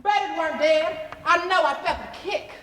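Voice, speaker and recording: A woman's voice: very high-pitched, moderate pace, a bit bright. A woman acting a haunted, fervent enslaved seer in a dramatic stage monologue, speaking in incantatory storytelling. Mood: fervent, ominous. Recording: echoey noisy hall, stage performance.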